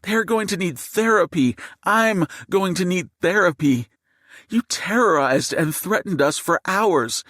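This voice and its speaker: A man's voice: high voice, expressive, a little slow, fairly bright tone, no echo, studio quality, pitched above the performer's own voice, full character voice. A man voicing a furious, defiant mother in an audiobook, seething and confrontational. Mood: outraged, shouting. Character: furious, fierce, defiant mother held at gunpoint. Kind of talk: audiobook character acting.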